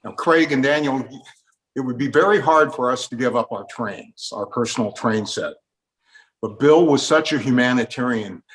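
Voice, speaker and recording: A man's voice: mid-range voice, normal speed, a bit bright, poor audio. A man hosting a casual conversational interview, chatting with his guests and putting questions to them. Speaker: host.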